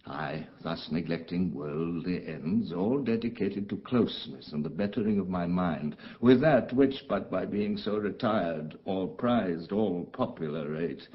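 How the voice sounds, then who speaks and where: A man's voice: sits low, animated, unhurried, few pauses, highs cut off. An actor playing an embittered, commanding exiled duke and father in a theatrical, declamatory Shakespearean style. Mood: bitter. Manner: theatrical, grave, declamatory, with dramatic swells and hushes. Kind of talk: classical Shakespearean stage acting.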